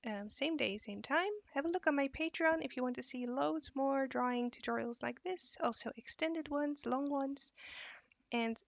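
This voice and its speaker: A young Dutch woman with a fairly high, gravelly voice chats tiredly while she draws on a live stream. The sound is dry and a little muffled. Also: normal speed, no echo. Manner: relaxed, reads and answers chat questions while drawing. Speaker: art teacher streaming live. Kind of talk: live drawing stream with chat.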